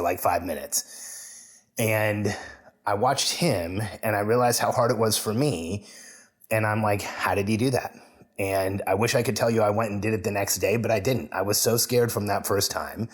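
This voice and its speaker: A man hosting a solo podcast, telling a personal story in an earnest, reflective way and slowing down to stress points. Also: on the low side. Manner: earnest storytelling, slows down for emphasis. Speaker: podcast host.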